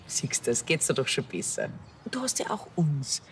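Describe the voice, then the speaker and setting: A woman's voice: low-pitched, sing-song, moderate pace, slight background noise. A woman playing a caring, cheerful bakery keeper with a Bavarian accent in a TV comedy, coaxing as she talks. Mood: encouraging. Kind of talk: TV comedy acting.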